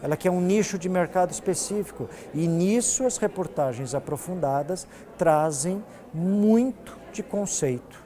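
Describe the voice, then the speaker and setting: A man's voice: high-pitched, animated, unhurried, few pauses, dark tone. A man with a Brazilian accent answering interview questions as a TV news director, explaining his points emphatically and repeating phrases for effect.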